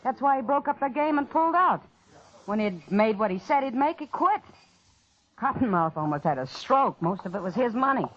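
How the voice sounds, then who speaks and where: A worldly Old West saloon woman, frank, with very lively intonation. The old radio recording is muffled. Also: fairly high pitch, moderate pace. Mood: matter-of-fact. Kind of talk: old-time radio western acting.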